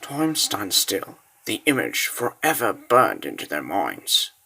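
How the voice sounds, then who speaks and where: A man narrating a comic dub as a scripted dramatic story, grave and deliberate with theatrical pauses. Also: on the low side, huge pitch swings, creaky, pushed and bright, breathy edge.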